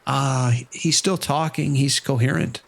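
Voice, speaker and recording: Male actor as concerned casino boss in horror audio drama, urgent, protective, medium-pitched. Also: steady tone, measured pace, gravelly, a little hiss or hum. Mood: uneasy.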